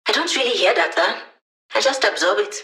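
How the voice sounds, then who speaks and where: A woman's voice: on the high side, expressive, normal speed, pushed and bright. A woman playing a brainy, wry digital hacker in a video game, talking casually and playfully as the squad's tech support. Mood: upbeat, playful. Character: cheeky, brainy female hacker, a digital holographic young woman.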